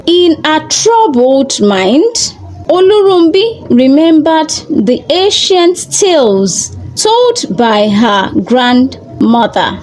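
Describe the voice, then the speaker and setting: A woman narrating a scripted folktale as a storyteller, in deliberate, measured short phrases. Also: high voice, darkish tone, low-quality recording.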